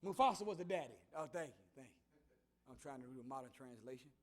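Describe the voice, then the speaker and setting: A man preaching a sermon to a congregation, calling out to them and building to shouts. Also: mid-range voice, lively intonation, a little fast. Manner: call-and-response preaching, rising to shouts. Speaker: minister.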